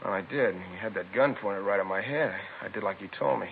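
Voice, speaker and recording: A man's voice: fairly low pitch, fast talker, gravelly. An American man playing a plain-spoken liquor store keeper who was robbed, terse and colloquial, in a 1950s radio drama. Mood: matter-of-fact, rattled. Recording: tinny, lightly noisy 1950s radio recording.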